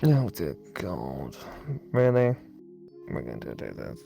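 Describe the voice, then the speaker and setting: Female let's play co-host: theatrical, teasing commentary, highly varied intonation, music in the background. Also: sing-song, takes it slowly, creaky, warm tone. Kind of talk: bantering game commentary.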